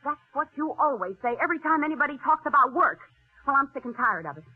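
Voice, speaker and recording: A bitter, scolding wife in an old 1940s radio drama. Her voice is very high and shrill and leaps about with sarcastic emphasis. The sound is tinny and narrow, like an aged broadcast. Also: lively intonation, fast talker, soft background music. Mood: angry, sarcastic.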